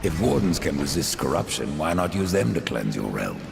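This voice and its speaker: Grim horseman: gravelly, very deep, dry and sardonic, game score underneath. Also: sing-song, music in the background, exaggerated voice. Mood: probing. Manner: dry, questioning, measured. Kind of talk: video game cutscene acting. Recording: game score playing behind.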